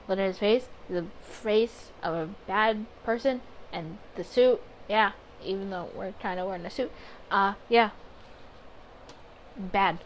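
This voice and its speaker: A woman's voice: medium pitch, slight background noise, low-quality recording. A woman doing a casual game playthrough, chatting expressively as she reads story text aloud and reasons through her reactions. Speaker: gaming video creator.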